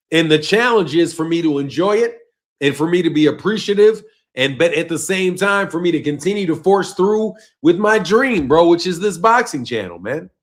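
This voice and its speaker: A fairly high-pitched man. He leans hard on "bro" and "man," and his voice sinks low and quiet as each phrase trails off. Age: 50s. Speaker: boxing channel host. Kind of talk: casual live-stream rant reading chat.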